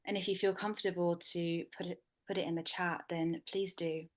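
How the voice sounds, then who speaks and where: A woman hosting and moderating an online panel over a video call, speaking in a soft, measured way with frequent ums. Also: lowish voice, flat delivery.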